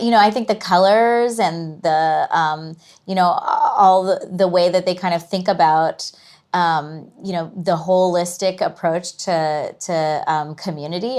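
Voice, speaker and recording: A woman's voice: fairly low pitch, darkish tone. Reflective woman in a casual interview. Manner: reflective, trailing thoughts with frequent "you know". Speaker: guest, museum curator.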